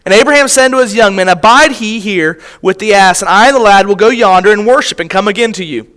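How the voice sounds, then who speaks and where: A man preaching from a church pulpit, his voice sitting high for a man. He tells a personal story like a conversation, circling back and restarting his phrases as he goes. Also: fast talker, sharp tone. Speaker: missionary preacher. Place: church sanctuary.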